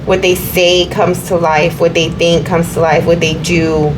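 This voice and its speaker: A slightly hoarse woman with a fairly low voice talks her viewers through a tarot reading, wandering and repeating herself as she goes. Steady background noise sits clearly under her voice. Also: unhurried. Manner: meandering, repeats herself, many fillers. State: slightly hoarse, recovering from illness. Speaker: tarot reader.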